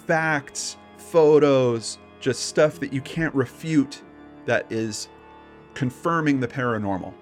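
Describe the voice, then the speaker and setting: A man's voice: medium pitch, takes it slowly, soft background music. A man co-hosting a show in casual chat, with a joking, skeptical manner. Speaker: co-host.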